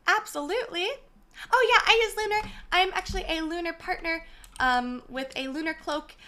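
A young woman in her twenties chatting casually on a gaming live stream, her voice slightly hoarse and worn, talking from a small room into a mic set far from her with the gain turned up. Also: very high-pitched, normal speed, sharp tone. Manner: chatty, apologetic, greets viewers by name. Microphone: desk mic set far from her, gain turned up.

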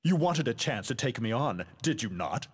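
Casting: menacing, disdainful fighting-game warrior. Husky, hugely animated pitch, grand haughty delivery. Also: male voice, moderate pace, in a large hall. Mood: cold disdain. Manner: haughty, declamatory, dramatic. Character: proud, menacing adult male warrior, disdainful of weaker foes. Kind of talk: video game fighting voice clips, theatrical.

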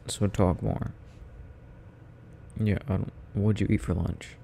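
A drowsy, affectionate young boyfriend played by a man murmurs in a low voice at an unhurried, slow pace. He sounds tender and needy, as in an intimate ASMR roleplay. There is faint noise behind his close-miked voice. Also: flat delivery, creaky, mellow, full character voice.

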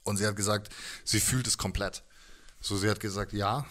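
A man in his thirties with a fairly low voice gives a casual interview. He speaks in a halting, reflective way, close to a handheld mic in a living room. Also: steady tone, fairly bright tone. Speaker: musician being interviewed. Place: living room with instruments, indoors. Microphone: handheld microphone held close.

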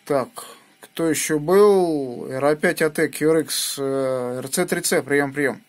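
A man's voice: a little high, slow. A man working as an amateur radio operator, talking casually on the air and using call signs, recorded on a phone indoors. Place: small indoor room at a home radio station. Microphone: phone held near the radio.

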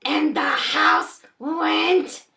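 A woman's voice: sits high, steady tone, very slow, gravelly, pitched above the performer's own voice, full character voice. A woman voicing a polite, flustered dinosaur puppet who talks and sings in a theatrical children's puppet show. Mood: obliging, flustered. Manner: exclamatory, hesitant, theatrical. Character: shiny dinosaur puppet, polite, flustered, generous host at dinner. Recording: roomy home recording with background noise.

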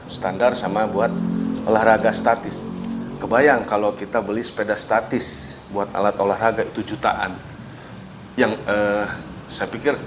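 Guy in his 40s doing a diy review vlog, friendly step by step chat, mid pitch, noisy room. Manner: chatty, explains step by step with friendly asides. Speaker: DIY channel host. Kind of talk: casual product review vlog. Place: workshop with tools on the wall, indoors.

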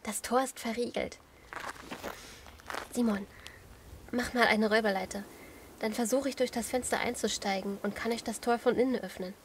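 Brave, take-charge survivor woman, played by an actress, zombie radio play, highish pitch, clearly noisy recording. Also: fairly even intonation, moderate pace, pauses often, lightly acted. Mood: effortful, determined. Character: brave, practical female survivor of a zombie apocalypse. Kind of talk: German radio play acting. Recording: clear background noise with clicks.

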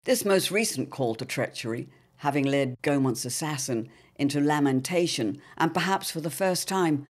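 A man's voice: fairly high pitch, animated, moderate pace, hardly any pauses. A man narrating a scripted serial drama in a theatrical, portentous manner.

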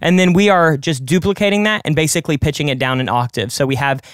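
Fast-talking young man explaining production. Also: fairly high pitch, dry recording, studio quality. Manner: quick, conversational, explains each step. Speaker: music producer and channel host. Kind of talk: screen-recorded production tutorial. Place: home studio with colored lights.

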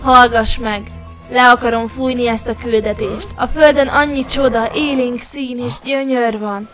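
A woman voicing a remorseful young alien princess in an animated fairy tale, earnest, emphatic and pleading. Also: a little high, faint music behind, close to an everyday voice.

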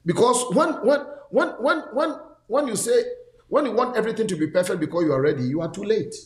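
A man's voice: sits high, lively intonation, brisk, echoey room. A man in his sixties, a pastor hosting a talk show, who repeats words for emphasis and urges listeners on. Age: 60s. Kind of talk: conversational mentoring talk. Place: studio set, indoors.

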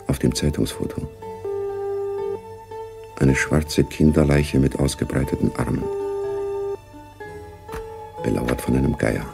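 A man narrating a spy radio drama gravely, with a deep, gravelly voice. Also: heavily acted.

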